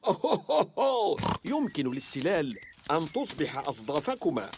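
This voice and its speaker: A woman's voice: sits low, sing-song, moderate pace, noisy background. A woman voicing a jolly old male pig grandfather in a dubbed cartoon, with a teasing, chuckling manner. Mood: playful. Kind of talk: cartoon dub acting.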